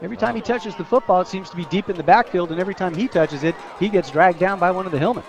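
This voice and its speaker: A man's voice: high voice, racing. An American man giving conversational color commentary on a live football radio broadcast. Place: outdoor high school football stadium. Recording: loud crowd behind, outdoor stadium broadcast. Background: crowd noise.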